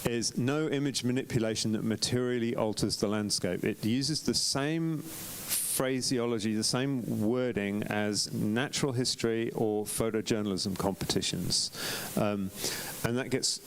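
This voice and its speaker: A man's voice: on the low side, measured pace, creaky, hardly any pauses, a little hiss or hum. A man reviewing competition photographs on a live stream in a chatty way, often restarting sentences with ums. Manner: chatty, self-correcting, frequent ums and restarts. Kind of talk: live-streamed club critique.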